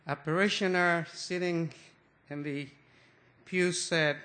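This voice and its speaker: Older man, fairly high voice, slow, halting, restarts often, church talk. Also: steady tone, pushed and bright. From Mexico. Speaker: guest church speaker. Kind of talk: anecdotal sermon talk.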